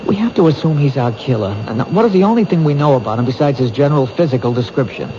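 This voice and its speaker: An actor playing a dogged police detective in a 1970s radio drama, pressing with urgent questions. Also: male voice, mid-range voice, lively intonation, fast, dark tone, natural voice. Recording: hissy lo-fi old radio broadcast.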